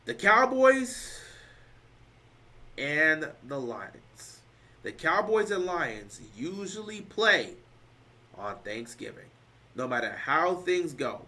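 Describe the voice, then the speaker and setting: Bright-voiced guy doing sports talk, tired and kinda hoarse, keeps hammering his point. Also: on the high side. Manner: emphatic, repeats points, rising to loud stresses. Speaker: sports show host. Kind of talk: casual sports talk, answering live chat.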